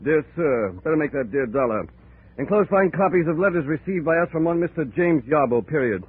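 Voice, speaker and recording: An actor playing a fussy, fretful insurance manager in 1940s radio style, flustered and officious. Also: male voice, fairly high pitch, lively intonation, brisk, husky. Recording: muffled, fuzzy old radio recording.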